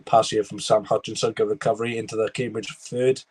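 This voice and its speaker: A man's voice: on the low side, level tone, a little fast, darkish tone, breathy edge. Chatty Welsh man streaming a match. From Wales. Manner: rambling, chatty, reads viewer comments aloud. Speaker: football watchalong streamer. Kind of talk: live match reaction and chat reading.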